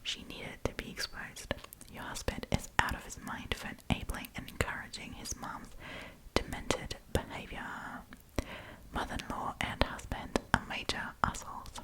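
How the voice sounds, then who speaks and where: A woman's voice: moderate pace, low-quality recording. Woman whispering a chatty ASMR storytime. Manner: chatty, informal, rambling asides.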